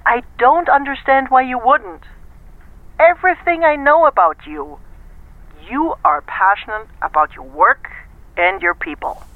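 An actress playing a brisk, persuasive corporate executive in an audio drama, firm and businesslike, heard through a telephone filter. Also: female voice, a little high, lively intonation, no room sound. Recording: dry, narrow-band phone line.

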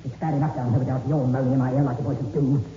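An actor playing a ruthless, curt and domineering criminal diver in a 1960s radio thriller. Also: male voice, medium pitch, little pitch movement, racing, a crowd in the background, lightly acted. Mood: irritated, bossy. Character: ruthless, impatient male diver and murderer. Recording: echoey, tinny old radio recording.

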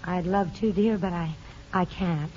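An actress playing a gentle, loving mother posing as a governess in a 1950s radio drama. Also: fairly low pitch, steady tone, unhurried, natural voice. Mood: gentle, hesitant. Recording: dull, hissy old radio recording.

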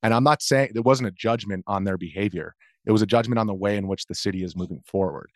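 Male American interview guest, lowish voice, dry studio-close sound, earnest, halting explanations. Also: no room sound. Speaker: interview guest, video journalist. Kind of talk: conversational interview, explaining own perspective.

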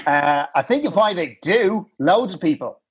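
A man's voice: high voice, expressive, normal speed, breathy edge, heavily acted. A man playing a shifty, evasive small-time businessman on a comedy call-in. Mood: sheepish. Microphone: video call.

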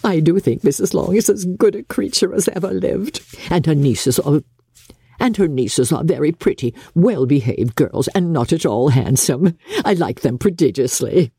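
A woman voicing an effusive, boastful middle-aged mother in a gushing, excitable manner for a dramatic storybook reading. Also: sits low, moderate pace, breathy edge, no echo, studio quality, exaggerated voice. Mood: gushing delight.

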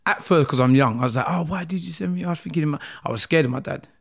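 A man's voice: fairly high pitch, quick pace, vocal fry. A man from South London with a South London accent, a podcast guest earnestly telling his life story in a casual interview, rambling with lots of "like" and "man". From South London, England.

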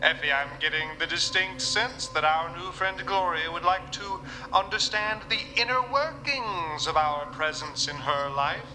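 A courtly, folksy Southern preacher in an audio drama. His voice is high and very bright, and his ornate sentences roll out slowly, ringing in a long reverb. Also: slight background noise. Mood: grandly reverent. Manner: grandiloquent, courteous, unhurried. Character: courtly folksy preacher husband broadcasting from inside a radio.

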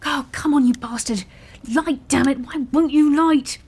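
A woman's voice: sits high, unhurried, darkish tone. A woman playing a chatty, awkward boutique guesthouse host who rambles over-eagerly and mutters exasperated curses, in a naturalistic audio drama style. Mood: flustered. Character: flustered, chatty, awkward female boutique lighthouse-stay host.

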